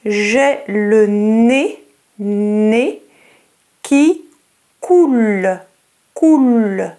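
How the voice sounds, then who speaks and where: A French-accented woman teaching, who speaks very clearly and repeats words slowly for learners. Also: fairly high pitch, warm tone. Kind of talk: slow, clear lesson narration in English and French.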